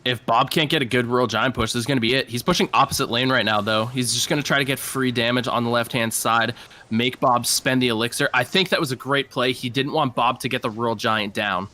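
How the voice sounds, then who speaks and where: Bright-voiced American male esports caster. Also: mid-range voice, fairly even intonation, fairly quick. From Massachusetts, United States. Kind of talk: analytical live game commentary.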